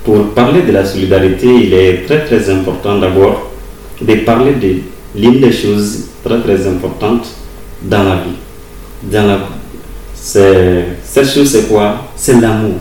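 Slow, deep-voiced man recorded far off. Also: darkish tone. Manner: slow, deliberate, repeats words while explaining. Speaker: interviewee, university teacher of German and English. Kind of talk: formal interview answers. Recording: roomy distant recording with faint ambient noise.

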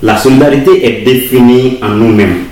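A man answering interview questions in a formal, deliberate way, repeating words as he explains. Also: medium pitch, steady tone, darkish tone. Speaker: interviewee, university teacher of German and English. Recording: roomy distant recording with faint ambient noise.

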